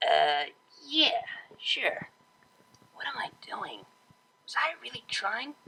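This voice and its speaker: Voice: medium pitch, very animated, slow, vocal fry, background noise, voice pushed up, heavily acted. A narrator doing a cocky, teasing unicorn DJ mare. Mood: awkward. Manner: casual, teasing, drawling.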